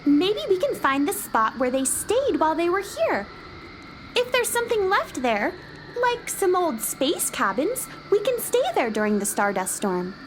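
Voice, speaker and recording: A woman's voice: high-pitched, sing-song, measured pace, a little hiss or hum. A woman playing a plucky, curious little cowgirl in a children's space radio adventure, with earnest childlike delivery, over music. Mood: thoughtful.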